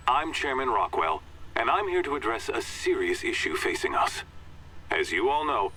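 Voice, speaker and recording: Game role: smug, short-tempered chairman, bright and very lively in pitch. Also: a little hiss or hum, low-quality recording. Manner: smooth public-address delivery breaking into profane rants. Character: pompous foul-tempered male colony chairman. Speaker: corporate chairman.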